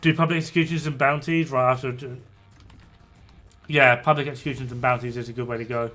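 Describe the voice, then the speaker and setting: A man in his thirties talks casually as he streams a PC game. His voice sits at an average pitch. He is informal and blunt, speaking loosely to fellow players and swearing freely. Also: fairly even intonation, fast, sharp tone, faint music behind. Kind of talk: casual gameplay chat with other players. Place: indoors at a gaming PC.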